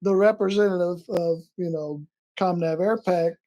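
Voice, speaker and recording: A man's voice: high-pitched, fairly even intonation, normal speed, warm tone. A man who is a Navy veteran, telling his service stories in a casual interview and rambling as he loses his thread.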